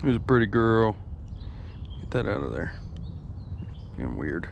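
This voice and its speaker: A man's voice: lowish voice, big pitch swings, measured pace, creaky. A man vlogging as he fishes at a quarry lake, talking in a casual, laid-back, drawling way while he casts, recorded on a head-mounted action camera. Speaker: fishing vlogger. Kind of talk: casual outdoor narration. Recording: faint outdoor noise.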